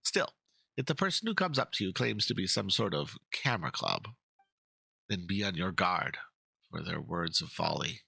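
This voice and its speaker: A man performing a florid, fussy painter, bright and raspy. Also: sits low, big pitch swings, moderate pace, no room sound, heavily acted. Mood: cordial, chatty. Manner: florid, theatrical, pompous. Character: passionate, fussy male painter, florid and easily indignant. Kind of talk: dramatic reading of game dialogue.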